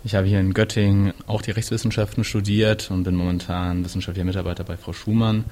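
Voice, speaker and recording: A man in his twenties introducing himself in a precise, deliberate way. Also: low-pitched, a little hiss or hum. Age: 20s. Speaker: research assistant. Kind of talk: self-introduction.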